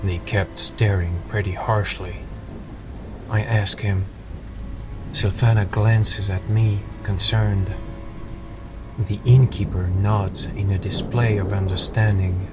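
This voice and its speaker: A man reads scripted first-person fantasy narration in a fairly low voice. His storytelling is measured and deliberate. Loud music runs underneath him. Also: steady tone, poor audio.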